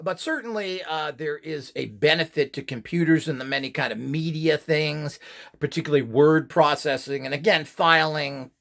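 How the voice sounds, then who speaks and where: A man's voice: fairly high pitch, moderate pace, creaky, fairly bright tone. A man giving an informal, rambling instructional talk as host, in an opinionated, dismissive manner.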